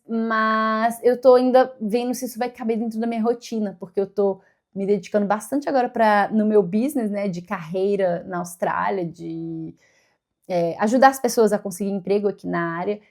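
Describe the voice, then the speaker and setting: A woman's voice: medium pitch, warm tone. A woman with a Brazilian Portuguese accent chats casually to her followers as a vlogger, explaining things and checking in with tag questions. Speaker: vlogger, career coach. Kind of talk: casual personal vlog chat to followers.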